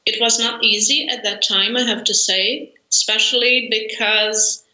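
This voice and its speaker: A woman in her forties with a Brazilian Portuguese accent talks slowly on a video call, pausing often with fillers and laughing easily. Her voice is a little high and very bright. The webcam audio is roomy and echoey. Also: steady tone. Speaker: interview guest, language learner. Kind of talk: conversational interview. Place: home room, indoors.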